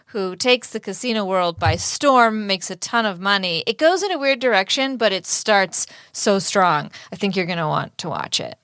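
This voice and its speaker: A woman's voice: medium pitch, animated, measured pace, a bit bright, no echo. A woman co-hosting a podcast, bantering casually and comedically about a TV show with playful, dry sarcasm. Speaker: podcast co-host.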